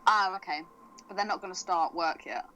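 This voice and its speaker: A woman's voice: lowish voice, normal speed, bright, forceful tone, highs cut off, slight background noise. A woman co-hosting a podcast in casual chat, teasing and swearing freely as she banters. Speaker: podcast co-host.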